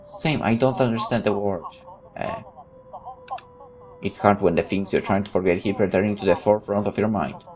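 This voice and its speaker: A man's voice: fairly low pitch, moderate pace, long pauses. A man hosting a let's play, reading game text aloud expressively and adding casual reactions.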